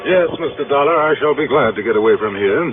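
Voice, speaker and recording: An actor playing a snobbish, stuffy insurance agent in a 1950s radio drama, speaking in a pompous, fussy, formal way. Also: male voice, mid-range voice, lively intonation, fast talker, lightly acted. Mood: haughty. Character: snobbish, stuffy Harvard-educated insurance agent, adult man. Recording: tinny old radio, faint noise.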